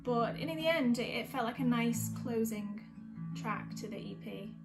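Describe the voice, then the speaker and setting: A woman's voice: on the high side, moderate pace, vocal fry, over music. A woman from the North West talks casually as a singer-songwriter between songs at an online gig. Her manner is informal and a little hesitant, and she often corrects herself as she goes. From North West England.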